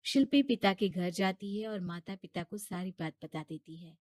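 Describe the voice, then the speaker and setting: A woman's voice: lowish voice, moderate pace, a little mellow, dry recording, studio quality. A woman reading scripted story narration as the narrator.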